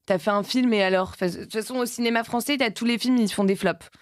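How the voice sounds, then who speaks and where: A woman's voice: fairly high pitch, fast talker, dry recording. Woman, late 30s, actress-producer type, loose rambling interview talk, dry sound. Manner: rambling, many restarts and fillers.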